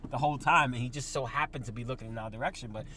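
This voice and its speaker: A man's voice: mid-range voice, rapid-fire. A young man from the United States co-hosting a podcast, chatting casually and telling stories with lots of swearing. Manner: loose, profane, animated storytelling. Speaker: podcast co-host. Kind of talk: casual chat. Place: inside a car. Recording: noisy car interior.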